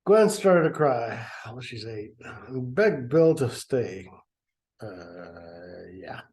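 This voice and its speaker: Guy reading a story and snarking at it the whole way, mellow dark voice. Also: medium pitch, sing-song, takes it slowly. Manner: sarcastic, mocking asides. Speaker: reader and commentator. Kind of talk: dramatic reading with sarcastic commentary.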